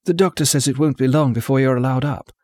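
A fragile, eager young woman with a soft, pleading manner, put on by a male audiobook reader. Her voice sits well above the reader's own low register. The recording is close and dry. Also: steady tone, quick pace, dry recording, lightly acted. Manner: soft, pleading, rising to tearful.

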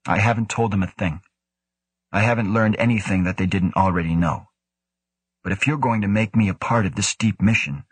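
A man voicing an earnest young male scientist who argues quickly and defensively. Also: on the low side, slightly rough, no room sound.

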